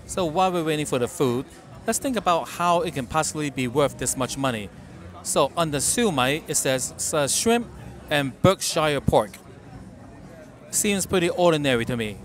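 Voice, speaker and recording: A middle-aged man reviewing food in a casual vlog from a restaurant booth, making wry, deadpan remarks into a close mic. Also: on the high side, moderate pace, soft background music. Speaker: food vlogger. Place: dim restaurant booth, indoors.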